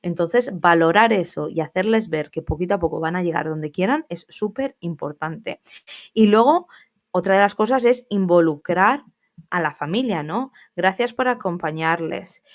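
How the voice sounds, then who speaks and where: A woman's voice: on the low side, lively intonation, normal speed. A woman in her thirties from Spain, a teacher hosting a casual solo podcast, chatty and familiar, talking straight to her listeners with asides.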